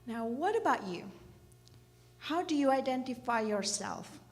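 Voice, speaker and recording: A middle-aged woman from Indonesia gives a reflective church message, speaking gently and asking the listeners questions. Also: on the high side, expressive, measured pace. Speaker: children's program director giving a church message. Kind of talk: reflective sermon talk. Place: church hall. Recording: reverberant church hall.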